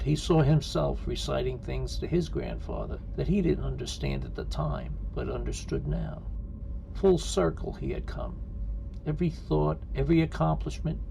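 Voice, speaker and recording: Male narrator, deep fry and gravel, dark soft tone, measured, scripted short story. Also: slight background noise, rough recording.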